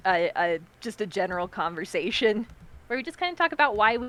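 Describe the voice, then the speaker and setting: A young woman hosting a streamed collab in casual chat, loud and bubbly, laughing often and poking fun at herself. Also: mid-range voice, big pitch swings, fairly quick. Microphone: streaming mic over voice chat.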